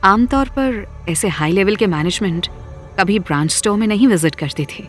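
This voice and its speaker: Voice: mid-range voice, animated, moderate pace. Story narrator over faint music.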